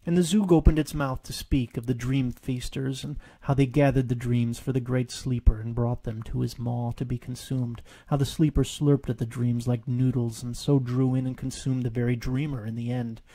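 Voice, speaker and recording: Male storybook narrator: mid-pitched, gravelly with vocal fry, mellow delivery of scripted prose. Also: no room sound. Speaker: author reading his own story.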